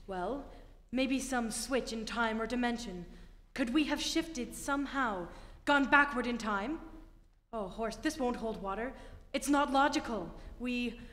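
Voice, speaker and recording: A woman's voice: fairly high pitch, a little slow, fairly bright tone. A woman playing a commanding, skeptical spaceship captain in a radio play, speaking with emphatic authority on stage. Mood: tense, insistent. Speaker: amateur theatre actor. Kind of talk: old-time radio play stage acting. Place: theatre stage before an audience. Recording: echoey hall, live stage performance.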